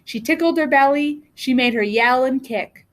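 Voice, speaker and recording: A woman reading a children's book aloud as a storyteller, with deliberate, expressive phrasing. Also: high-pitched. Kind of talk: read-aloud storybook narration.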